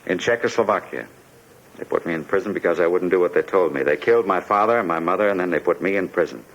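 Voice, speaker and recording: A man's voice: deep voice, animated, fast, fairly bright tone, exaggerated voice. An actor playing a desperate, bitter immigrant man haunted by his past, insistent and wrought up, in a 1950s radio drama. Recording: dull, noisy 1950s radio recording.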